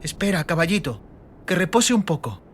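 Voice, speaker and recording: A woman's voice: on the low side, sing-song, normal speed, slight background noise. An actress playing an exhausted, frightened but stubborn and tender teenage girl in a dramatized audiobook. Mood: gentle coaxing. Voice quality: hoarse, breathy whisper at times.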